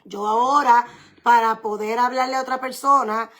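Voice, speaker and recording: Woman, pastor, slightly high voice, didactic sermon, deliberate explanatory delivery, emphatic repetition. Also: fairly even intonation, a bit bright. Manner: deliberate, explanatory, repeats key points for emphasis.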